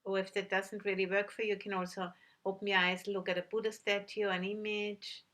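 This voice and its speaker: A woman teaching a guided meditation, giving gentle instructions with long pauses between phrases. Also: mid-range voice, little pitch movement.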